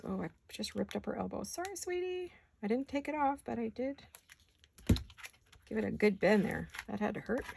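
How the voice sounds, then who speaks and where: A woman with a raspy voice from allergies, chatting casually to viewers as she crafts in her home studio, often talking to herself and slipping into whispers. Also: mid-range voice, slight background noise. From California. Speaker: craft vlogger. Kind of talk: casual craft chat while working.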